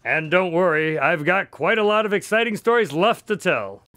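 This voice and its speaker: A man's voice: high-pitched, expressive, moderate pace, sharp tone, no room sound. A man acting in a radio drama as an affable, inquisitive detective's sidekick who tells stories in an earnest, conversational way. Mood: pleased, storytelling.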